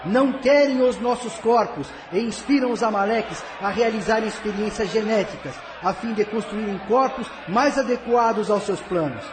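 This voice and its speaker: A man playing an alarmed alien council member in an audio drama, loudly and urgently declaiming a warning. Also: high-pitched, moderate pace, hardly any pauses, close to an everyday voice. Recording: noisy crowd ambience behind voice.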